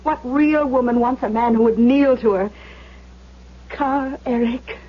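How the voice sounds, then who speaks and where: Casting: flirtatious female star for radio melodrama, high-pitched, languid, tinny phone-like vintage sound. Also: measured pace. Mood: yearning, seductive. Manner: languid, seductive. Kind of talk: 1970s radio drama acting. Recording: thin, phone-like old radio recording.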